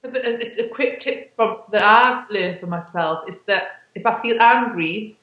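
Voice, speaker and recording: A woman's voice: medium pitch, unhurried. A woman co-hosting a casual self-help chat, talking in a reflective, rambling way and often breaking off mid-phrase. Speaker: co-host. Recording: echoey, dull online call audio.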